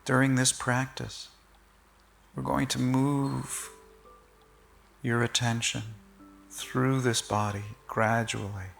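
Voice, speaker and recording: A man leading a guided body-scan meditation in short, gentle, measured phrases with long pauses. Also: mid-range voice, flat delivery, vocal fry, soft background music.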